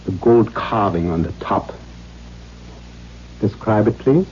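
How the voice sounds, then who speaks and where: An actor playing a cruel, domineering middle-aged father in a 1970s radio drama, mock-gentle and formal as he menaces his daughter. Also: fairly low pitch, slow pace. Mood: menacing. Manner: mock-gentle, formal phrasing, erupting into demands. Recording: dull, noisy 1970s radio recording.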